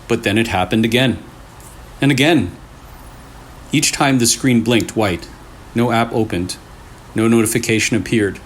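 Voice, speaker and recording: A man's voice: medium pitch, measured pace, long pauses, a little hiss or hum. A man hosting and reading scary stories aloud in short, suspenseful phrases, with casual asides. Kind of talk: storytelling with casual reactions between stories.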